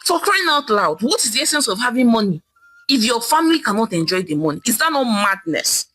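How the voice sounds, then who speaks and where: A woman's voice: a little high, sing-song, a little fast, pushed and bright, no room sound, faint music behind, heavily acted. A woman voicing a defiant middle-aged Nigerian wife in a folktale, scolding and taunting with emphatic delivery and a Nigerian accent. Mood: indignant scolding.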